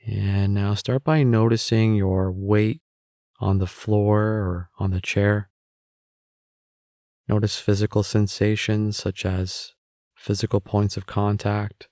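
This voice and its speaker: A man guiding a meditation with calm, measured, gentle spoken instructions. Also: fairly low pitch, dry recording, clean studio sound. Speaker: meditation guide.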